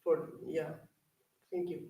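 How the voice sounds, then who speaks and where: A woman from Spain answering interview questions slowly and hesitantly, with many fillers. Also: low voice, flat delivery, warm tone. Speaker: participant. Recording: very echoey, from far off.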